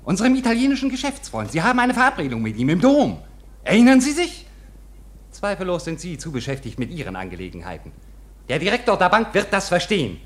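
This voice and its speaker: Smug bank rival: high, brisk, insinuating, mock-courteous radio drama. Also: male voice, huge pitch swings. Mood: officious, eager. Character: officious, smoothly scheming bank rival, condescending man. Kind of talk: German radio play acting.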